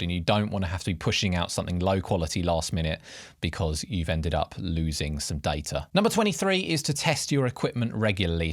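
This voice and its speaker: Low-voiced man presenting a tutorial. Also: dry recording, clean studio sound. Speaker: presenter of podcast production tips. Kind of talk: scripted tutorial narration.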